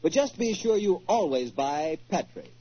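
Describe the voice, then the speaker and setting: An American man announcing and pitching a sponsor in a folksy, conversational 1940s radio style, with a husky voice. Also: sing-song, a little slow, loud music behind the voice. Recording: dull 1940s radio recording.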